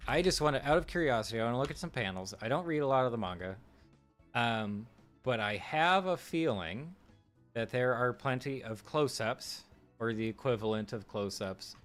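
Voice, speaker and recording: Man, live streamer, analytical casual chat, frequent restarts, faint background music. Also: mid-range voice. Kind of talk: casual live-stream commentary on film craft.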